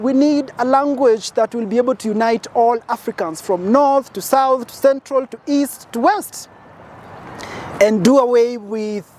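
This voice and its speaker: A man from Kenya, giving an impassioned advocacy speech outdoors and building his points through emphatic, repetitive lists. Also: very high voice. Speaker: petitioner.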